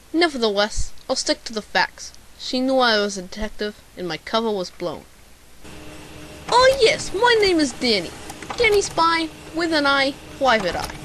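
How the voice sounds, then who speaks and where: A woman's voice: a little high, fairly bright tone, poor audio. A woman playing a bumbling, self-important private detective in an amateur radio drama, with a pompous, theatrical delivery. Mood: eager, officious.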